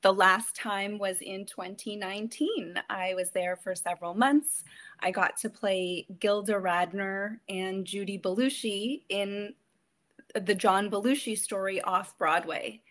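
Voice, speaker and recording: A woman's voice: mid-range voice, steady tone, measured pace, a bit bright. A woman in her thirties from Ontario, Canada, a guest actress chatting in an interview, telling stories and laughing often. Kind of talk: casual interview chat.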